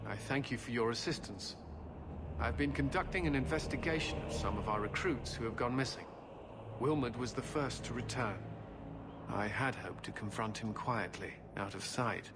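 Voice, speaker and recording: An actor playing a stern, earnest knight captain in a fantasy video game, speaking formally and gravely. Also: male voice, mid-range voice, moderate pace, close to an everyday voice. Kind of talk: video game cutscene acting. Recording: clear ambient game noise behind.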